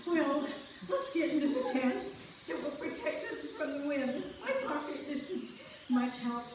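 A woman's voice: high-pitched, animated, unhurried. A woman playing an uneasy, chilly woman out on the ice in an amateur stage reading, recorded from a distance in a church. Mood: cold, uneasy. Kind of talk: amateur reader's theater. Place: church sanctuary stage with audience. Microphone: far camera in the pews. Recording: distant, echoey noisy church hall recording.

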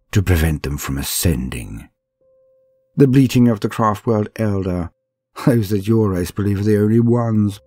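Man voicing a deep husky, arrogant ancient alien aristocrat. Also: exaggerated voice. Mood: scornful. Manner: grandiose, haughty, theatrical, with slow pauses and hushed drops. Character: arrogant, decadent ancient alien aristocrat, male, cruel and hedonistic. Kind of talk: dramatic first-person scripted horror storytelling. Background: music.

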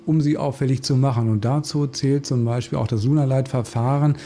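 A man's voice: mid-range voice, steady tone, a little fast, dark tone, a little hiss or hum. A man, a forensic expert, giving a formal explanation in an interview.

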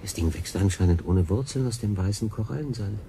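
An actor playing a wry, ailing stranded sailor who talks to himself in a radio drama, with noise behind the voice. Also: male voice, lowish voice, lively intonation, brisk, mellow. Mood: wary, fascinated.

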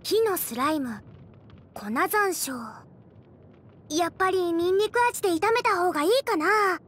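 A voice actress playing a cheerful, eager teenage girl cook in an anime fantasy game, chatty and expressive. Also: extremely high pitch, measured pace, full character voice. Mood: casual, helpful. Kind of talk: animated anime game character acting.

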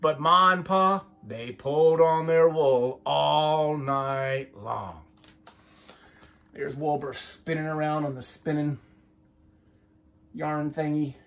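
A man's voice: fairly high pitch, takes it slowly, vocal fry, long pauses, fairly bright tone. A middle-aged man reading a children's storybook aloud with playful, folksy asides. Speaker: storybook reader. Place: small furnished room indoors.

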